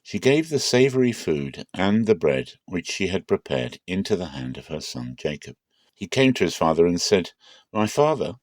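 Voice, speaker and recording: A man narrating the Bible aloud as a dramatic reading, in a measured, solemn and expressive storytelling manner. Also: deep voice, no echo.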